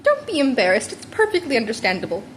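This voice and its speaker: Small animal headmaster female reader, courteous, gleefully scheming, high-pitched, for a fan audiobook with faint background noise. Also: wildly swooping pitch, fast talker. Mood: amused, reassuring. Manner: polite, amused, chuckling. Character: small cheerful, scheming animal school principal. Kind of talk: amateur fan fiction audiobook character.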